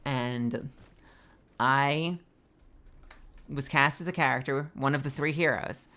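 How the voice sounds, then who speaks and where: Low-voiced woman telling stories. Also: slow. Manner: swearing, storytelling, loud bursts of disbelief. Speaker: streamer and voice actor. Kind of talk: casual gaming stream chat.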